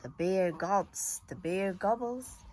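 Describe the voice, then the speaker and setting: A woman's voice: medium pitch, slow pace, noisy background. A woman reading a children's storybook aloud expressively, drawing out words and leaning on the sound words.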